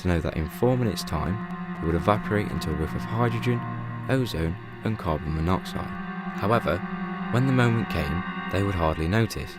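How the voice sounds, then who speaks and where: A man narrating a radio play as a measured, dry, encyclopaedic talking book. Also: low voice, lively intonation, over loud music. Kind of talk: scripted narration.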